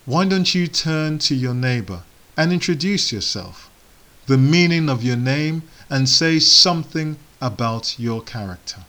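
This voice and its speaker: A man whose first language is Igbo, giving a sermon in slow, measured phrases with solemn pauses. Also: medium pitch, animated, bright, forceful tone. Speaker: preacher.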